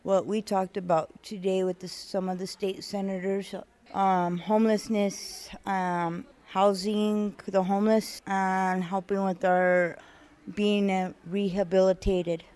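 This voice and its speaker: A woman from New Mexico speaking haltingly, with pauses. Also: medium pitch, flat delivery, darkish tone. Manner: halting, pausing.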